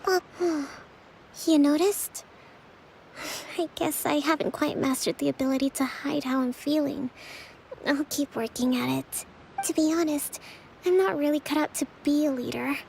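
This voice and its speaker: Exhausted gentle leader; high, breathy fry, halting and apologetic. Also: female voice, a little hiss or hum, low-quality recording. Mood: candid. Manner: soft, hesitant, apologetic. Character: tired, gentle young priestess-leader.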